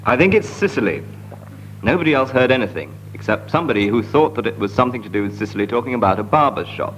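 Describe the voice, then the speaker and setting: A man improvising a deadpan comic story in a deliberate manner, on a very noisy broadcast recording. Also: medium pitch. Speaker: comedy panellist.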